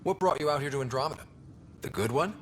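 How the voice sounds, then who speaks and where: An actor playing an earnest young human explorer in a video game, asking things in a brisk, inquisitive way. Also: male voice, on the high side, expressive, a little hiss or hum, lightly acted. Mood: curious.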